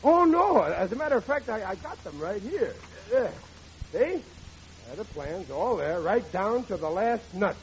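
An actor playing a blundering, excitable middle-aged working-class American father in a 1940s radio comedy. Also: high-pitched, a little slow, exaggerated voice. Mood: boastful. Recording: muffled, noisy old radio recording.

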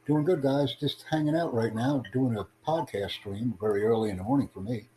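A man hosting a livestream podcast, addressing callers in a deliberate, instructive way. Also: medium pitch. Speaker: podcast host. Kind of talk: livestream chat with online callers. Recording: clearly noisy, humming background.

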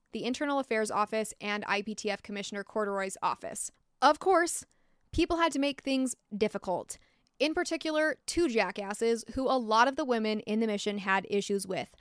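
A woman's voice: a little high, fairly bright tone, clear, resonant tone, no echo. An American woman hosting a podcast, narrating a true story with blunt, opinionated asides from a close mic. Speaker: podcast host.

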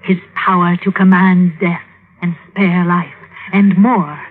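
A woman's voice: on the low side, little pitch movement, slow, breathy edge. An actress playing an older, scheming and aggrieved noble mother in a theatrical, imploring radio drama style. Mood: coldly tempting. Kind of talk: 1950s radio drama acting. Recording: muffled, noisy old radio recording.

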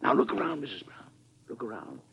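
An actor playing an earnest, fatherly American president in a vintage radio drama, measured and persuasive, with an American accent. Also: medium pitch, huge pitch swings, vocal fry, a bit bright, slight background noise, full character voice. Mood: gentle, coaxing. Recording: muffled vintage radio recording.

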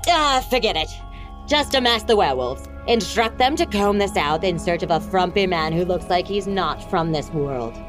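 An actress playing an imperious, easily exasperated villainous empress in a comedic fantasy audio drama, haughty, theatrical and mercurial. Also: female voice, on the low side, expressive, normal speed, over music, close to an everyday voice. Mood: scheming.

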